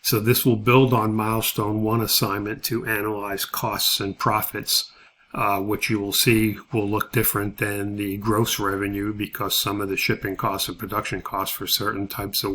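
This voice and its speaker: A man's voice: fairly low pitch, little pitch movement, normal speed, pushed and bright, slight background noise. A man teaching as a college instructor, explaining assignment steps in an informal, matter-of-fact, methodical lecture.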